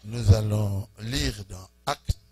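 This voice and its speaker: A man pastor greeting a church congregation in French, speaking in short phrases and pausing for his interpreter. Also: on the low side. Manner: slow, pausing phrase by phrase for the interpreter, chuckling warmly. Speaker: preaching pastor. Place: church hall.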